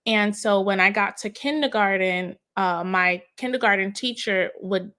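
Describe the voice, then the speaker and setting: A woman speaks with a moderate, middle-range voice, sharing memories in a thoughtful interview. She often pauses to search for words and restarts her phrases as she reflects. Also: medium pitch, sharp tone. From United States, of Haitian parents. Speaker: guest, educator. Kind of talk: conversational interview storytelling.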